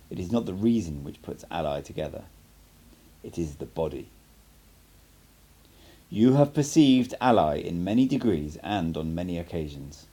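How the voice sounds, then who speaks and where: An assured male sorcerer mentor from an audiobook, read by a man. He speaks fairly low, with lively rises and falls. His teaching is patient, cryptic and emphatic, delivered in measured phrases. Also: husky, full character voice.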